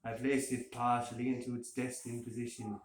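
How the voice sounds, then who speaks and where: A man's voice: mid-range voice, flat delivery, moderate pace. A man giving an amateur dramatic reading of a gothic horror story. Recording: roomy echo, faint hiss.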